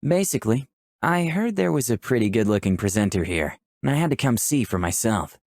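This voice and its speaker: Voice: medium pitch, lively intonation, a little fast, darkish tone, no echo, clean studio sound. A person playing through a visual novel, reading the protagonist's lines and narration aloud with a playful, flirtatious manner. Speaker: player voicing the protagonist and narration.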